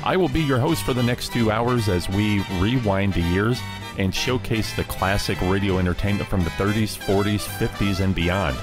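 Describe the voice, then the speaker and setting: Guy hosting a podcast intro, fairly low voice, reading a script over music. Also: moderate pace. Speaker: host.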